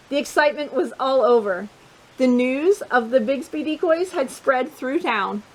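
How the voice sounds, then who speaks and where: A woman hosting storytime, reading a picture book aloud to children in an expressive way, with chatty asides and questions to the listeners. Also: high-pitched, rough recording.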